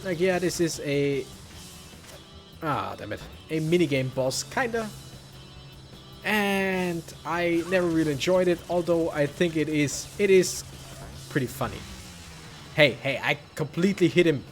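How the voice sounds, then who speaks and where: A man's voice: on the high side, unhurried, pauses often. A man giving casual, rambling let's play commentary with wry asides. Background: game music.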